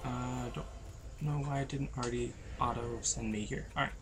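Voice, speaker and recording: A tired young man, losing his voice, giving low-energy, rambling commentary over a video game. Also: steady tone, normal speed, over music. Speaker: gameplay commentator. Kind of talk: casual let's-play commentary.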